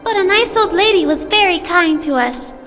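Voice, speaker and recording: Sweet game child: tiny, extremely high voice in long reverb. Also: female voice, animated, moderate pace. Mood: innocent. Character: innocent, sweet little child. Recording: long reverb with faint music.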